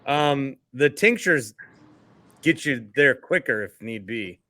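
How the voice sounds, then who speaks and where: A man's voice: medium pitch, animated, takes it slowly, sharp tone. A middle-aged man hosting a sports podcast in a casual, chatty way.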